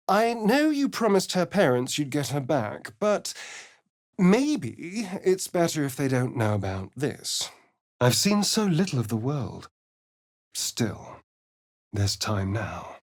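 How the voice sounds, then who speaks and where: A man's voice: medium pitch, big pitch swings, unhurried. An actor playing a vain, sardonic young-looking male vampire in a video game, with an arch, theatrical manner. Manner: arch, sly and theatrical, drawling. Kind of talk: game voice acting.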